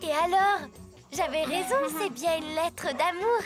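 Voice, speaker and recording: A woman voicing a lively, opinionated young schoolgirl in a cartoon, quick to judge. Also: extremely high pitch, over music. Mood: pleased. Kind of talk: cartoon dub.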